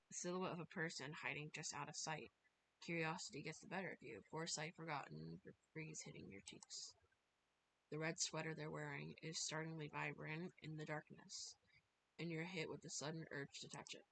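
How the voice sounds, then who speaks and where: A woman's voice: low-pitched, vocal fry, noisy background. A woman livestreaming a visual novel, reading the text aloud and reacting playfully as she goes. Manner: playful, reactive, shifts between reading and chat. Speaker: streamer. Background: loud game music.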